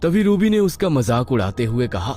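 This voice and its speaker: A man's voice: mid-range voice, sing-song, moderate pace, mellow, faint music behind. A man narrating a scripted horror story in a suspenseful, dramatic manner.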